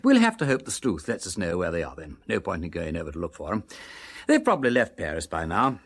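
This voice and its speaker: A breezy upper-class English gentleman detective for period radio drama. His low, husky voice swoops about in a flippant drawl, often ending on a questioning little tag. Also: huge pitch swings, fast talker, heavily acted. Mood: wry, musing. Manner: drawling, flippant, tags questions with what and hmm.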